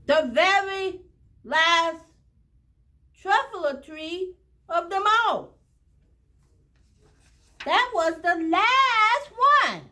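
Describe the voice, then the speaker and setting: A woman's voice: very high-pitched, drawn-out words, long pauses, pushed and bright. A woman reading a picture book aloud to children, expressively and in short dramatic phrases, often speaking to them directly.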